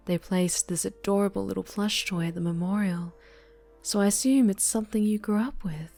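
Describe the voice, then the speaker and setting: A woman playing an obsessive winged superheroine captor in an ASMR roleplay, murmuring with doting, condescending tenderness and slipping into whispers. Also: medium pitch. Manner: soft, cooing, condescending tenderness, drifting into whispers.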